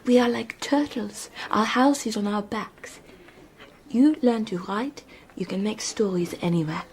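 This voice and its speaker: Radio drama: actress as gentle, earnest refugee teacher, female, solemn and hushed, instructive, faint noise behind. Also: medium pitch, a little slow, lightly acted. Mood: solemn, intent. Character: sad, earnest German-speaking refugee woman, gentle teacher.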